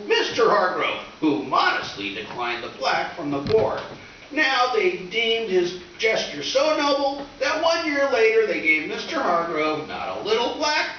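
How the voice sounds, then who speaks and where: A man's voice: high-pitched, bright, forceful tone, highs cut off, full character voice. A man playing a scheming, melodramatic teenage schoolboy with slangy, whiny delivery in old-time radio comedy style. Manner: whiny, exclamatory, theatrical pleading. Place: live stage performance in a reverberant room. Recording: echoey distant stage recording with hiss.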